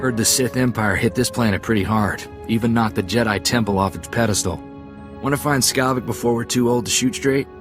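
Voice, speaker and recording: An actor playing an eager, friendly gunslinger sidekick in a video game, with an easygoing, folksy way of talking. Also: male voice, mid-range voice, fairly quick, music in the background, natural voice. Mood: chatty, eager.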